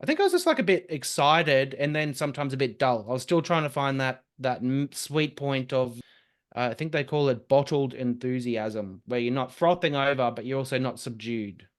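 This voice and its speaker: A young man, a podcast guest chatting casually and telling lively stories. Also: mid-range voice. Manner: lively, quick storytelling with laughs and restarts. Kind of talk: casual conversation.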